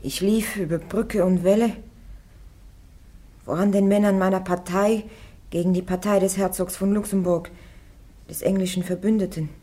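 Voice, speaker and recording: A woman's voice: mid-range voice, steady tone, moderate pace, long pauses, warm tone, lightly acted. A woman acting a defiant, devout young warrior on trial in a German radio play, plain-spoken and stubborn. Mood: plain, firm.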